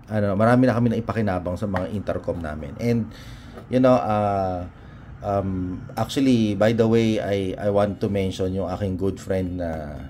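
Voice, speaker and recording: A man's voice: fairly low pitch, mellow. A Filipino man presenting a product in a casual, unscripted review, hesitating as he searches for words and mixing Tagalog with English. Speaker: motorcycle vlogger presenting a product. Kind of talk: casual unboxing and review chat. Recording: noticeable background noise and room ambience.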